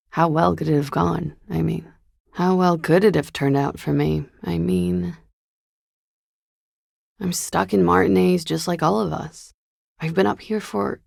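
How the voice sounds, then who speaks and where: Weary, wry, gravelly low-voiced woman. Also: darkish tone, no echo, studio quality, heavily acted. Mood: bitter, resigned. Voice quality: fry-laden, gravelly. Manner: languid, wry, world-weary. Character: weary, guarded, wry young woman under suspicion. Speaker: video game voice actor. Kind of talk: naturalistic video game dialogue.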